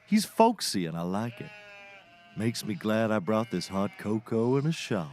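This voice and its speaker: A man's voice: lowish voice, very animated, normal speed, a little mellow, slight background noise. An actor playing a chatty, opinionated male auction-goer in a scripted audio comedy.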